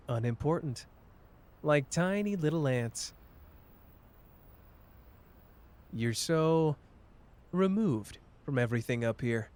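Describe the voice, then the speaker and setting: A man's voice: mid-range voice, a little mellow, close to an everyday voice. A man playing a cocky, tender young male sorcerer in an intimate ASMR romance roleplay, with a playful, teasing, casual manner. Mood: gentle, reassuring.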